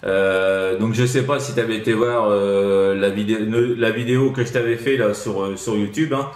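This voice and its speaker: A man's voice: lowish voice, steady tone, moderate pace, echoey room. A man chatting casually as a radio operator, hesitant and repetitive, often tagging his phrases with small filler words.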